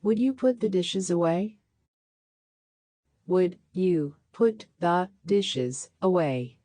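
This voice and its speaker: A woman reading practice sentences aloud for a language lesson, saying each one three times with a slowed repeat. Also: fairly low pitch, lively intonation, warm tone, no echo. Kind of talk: slow scripted sentence drills.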